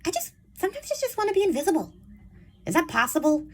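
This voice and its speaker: A woman chats to her audience in a voice that sounds childish and young. Her pitch swings up and down a lot as she rambles. She speaks frankly and uses plenty of fillers. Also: big pitch swings, fast. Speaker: vlogger. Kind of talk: casual chat to camera.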